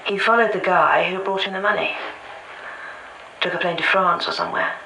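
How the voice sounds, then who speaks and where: A woman's voice: on the low side, fairly even intonation, normal speed, gravelly. An actress playing a frank female witness, recollecting in a matter-of-fact way for a radio drama. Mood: matter-of-fact, recalling. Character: female witness frankly recounting a criminal acquaintance. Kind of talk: naturalistic radio drama acting. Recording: roomy, noisy ambient interview scene.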